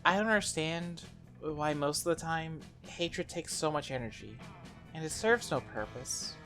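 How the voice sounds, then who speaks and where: A woman playing a cheerful former deity turned monster high-school girl, earnest and expressive, in a game fan dub. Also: unhurried, faint music behind, full character voice. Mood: tender, sincere.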